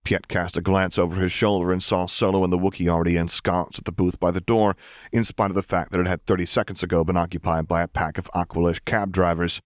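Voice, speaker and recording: A man's voice: deep voice, little pitch movement, fast talker, no echo, clean studio sound. A man reading a story aloud as scripted audiobook narration.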